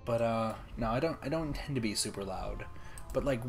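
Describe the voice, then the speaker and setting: A young man streaming a game late at night, talking softly and calmly, almost whispering so as not to wake anyone. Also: medium pitch, fairly quick, vocal fry, soft background music. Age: young adult. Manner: soft, calm, near-whispering. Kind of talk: casual gaming stream chat. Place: room at home, late at night.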